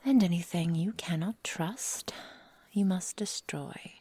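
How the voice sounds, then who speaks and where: Casting: possessive immortal witch played by a woman, low-pitched, whispery and mellow, formal and tenderly doting, for ASMR roleplay. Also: slow. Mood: bitter. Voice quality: soft, breathy, whispery. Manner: tender endearments, formal old-fashioned storybook phrasing. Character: possessive, adoring immortal witch living in the forest. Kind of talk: intimate ASMR roleplay, slow dramatic delivery.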